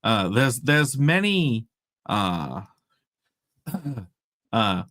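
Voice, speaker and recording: A man's voice: on the low side, sing-song, drawn-out words, a bit bright, no room sound, studio quality. A man with an English accent, co-hosting a casual comics chat show, swearing freely and restarting his sentences. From England. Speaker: podcast co-host.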